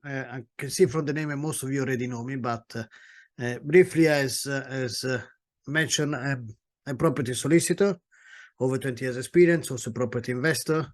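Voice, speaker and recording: A man's voice: medium pitch, brisk. A middle-aged man with an Italian accent giving a webinar talk as a property solicitor, speaking with many hesitations and restarts. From Italy.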